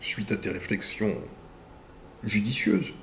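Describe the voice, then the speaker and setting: A man hosting a video channel. He reads viewer messages aloud and answers them in a deliberate way, in short phrases with pauses. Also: lowish voice, steady tone, a bit bright, a little hiss or hum.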